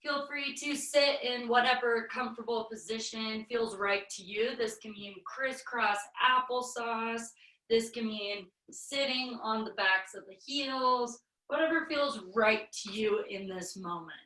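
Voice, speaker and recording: A woman yoga instructor with a slight head cold, guiding a virtual class through breathing in a slow, soothing way. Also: fairly high pitch, sharp tone, reverberant, distant mic, low-quality recording.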